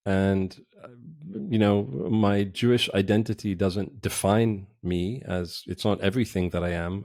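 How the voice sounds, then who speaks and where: A middle-aged man from Israel, a podcast guest, talking casually in a direct, reflective way with pauses and restarts. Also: deep voice, darkish tone, no room sound, clean studio sound. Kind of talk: casual interview talk. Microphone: remote call.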